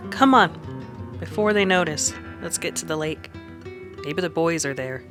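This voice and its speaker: A woman's voice: low voice, expressive, moderate pace, music in the background. An actress playing a plucky, practical saloon woman in an Old West radio drama, plainspoken and folksy. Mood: concerned, urgent. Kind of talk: old-time radio western acting.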